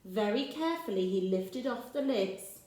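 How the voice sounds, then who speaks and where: A woman's voice: medium pitch, expressive, moderate pace, a little mellow. A woman teacher reading a picture book aloud to children, pointing out the pictures and asking the listener questions. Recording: echoey room.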